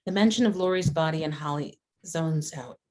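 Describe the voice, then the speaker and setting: A woman reading scripted stage directions as a narrator, in a measured, descriptive manner. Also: low voice, a little mellow.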